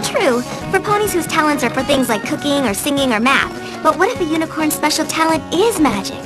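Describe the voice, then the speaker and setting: A woman voicing a studious, earnest young female unicorn pony in an animated cartoon, speaking in precise, wordy sentences. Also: sits high, brisk, music in the background. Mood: modest.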